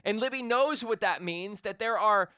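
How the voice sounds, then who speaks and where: Man, 40s, preacher, loud animated delivery, fairly high voice, smooth and resonant. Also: normal speed, dry recording, clean studio sound. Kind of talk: sermon. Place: church sanctuary with a congregation. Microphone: handheld or stage microphone.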